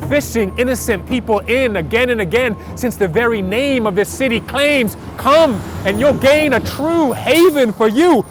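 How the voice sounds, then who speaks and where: A man reciting spoken word poetry in a rhythmic, dramatic, emphatic way. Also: a little high. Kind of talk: performed slam poetry recital. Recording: outdoor recording with clear traffic noise.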